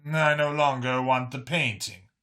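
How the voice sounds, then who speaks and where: A man voicing an aloof alien art thief and forger in an audio story, speaking in a deliberate, haughty way. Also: mid-range voice, steady tone, bright, forceful tone. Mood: calm, deliberate.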